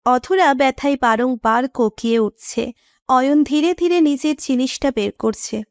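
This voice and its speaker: A woman's voice: sits high, moderate pace, no echo, clean studio sound. A woman narrator reading a scripted romantic story.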